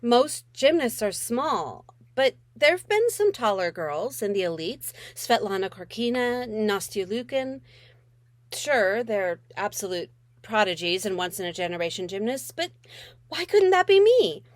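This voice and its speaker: Expressive woman reading a novel aloud. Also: medium pitch, a little slow, dry recording. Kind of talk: expressive first-person audiobook narration of a middle grade novel.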